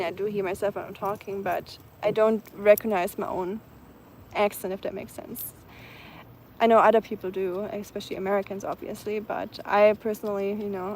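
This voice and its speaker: A woman from Germany with a German accent, chatting casually and softly outdoors, with many ums. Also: mid-range voice, a little hiss or hum. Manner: soft-spoken, chatty, many ums, laughs lightly. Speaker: vlogger. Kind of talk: casual live chat outdoors. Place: outdoors in the evening, nature sounds around.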